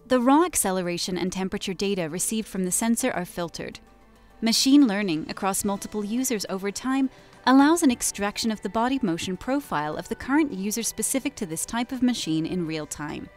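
A woman giving scripted corporate product narration in an even, measured and clearly enunciated way. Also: mid-range voice. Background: soft background music.

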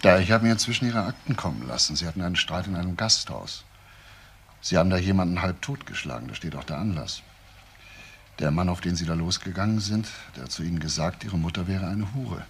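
An actor playing a dogged, probing police detective in a crime radio play, asking terse and insistent questions. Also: male voice, low-pitched, lively intonation, brisk, slight background noise. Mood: probing, official. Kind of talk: German crime radio drama dialogue.

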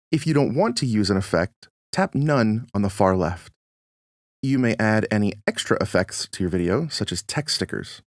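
A man reading a scripted how-to narration as a tutorial presenter, giving clear step-by-step instructions. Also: medium pitch, no room sound, clean studio sound.

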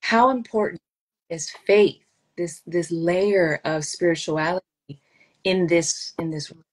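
A young woman hosts a podcast interview from a home office over a webcam. Her voice is low for a woman, and she affirms her guest and then asks open, thoughtful questions. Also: steady tone, measured pace, low-quality recording. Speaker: podcast host. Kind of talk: interview over video call. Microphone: video call webcam.

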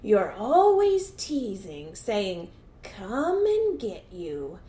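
A woman plays a big, hungry male alligator from a storybook. He is menacing yet gullible, drawing out his words with lively swings in an echoey room. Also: medium pitch, drawn-out words, full character voice. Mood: menacing, gloating.

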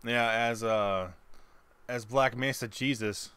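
Casually bantering man, a bit halting. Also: mid-range voice, fairly even intonation, sharp tone. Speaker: co-op gaming video player.

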